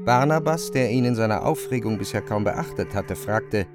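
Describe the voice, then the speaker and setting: A man's voice: lowish voice, expressive, normal speed. Man narrating a radio play over music. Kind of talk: scripted literary narration. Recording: music clearly behind.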